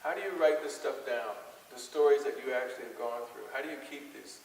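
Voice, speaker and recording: A man giving a lecture as a psychologist, speaking earnestly and deliberately, often posing rhetorical questions. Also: medium pitch, gravelly, darkish tone. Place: large hall with audience, public address microphone. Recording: echoey hall, faint hiss, distant mic.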